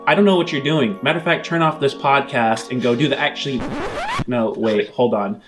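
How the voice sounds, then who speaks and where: A man's voice: medium pitch, a little fast. Casual male podcast host over music. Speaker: host and game master.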